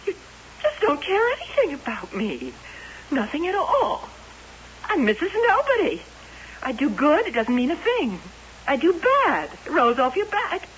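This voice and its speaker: A woman's voice: high voice, huge pitch swings, a bit bright, heavily acted. An actress in an old-time radio drama playing a jealous, overwrought carnival woman, agitated and melodramatic. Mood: bitter, pleading. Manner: agitated, rapid-fire, melodramatic. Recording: hissy, muffled old radio recording.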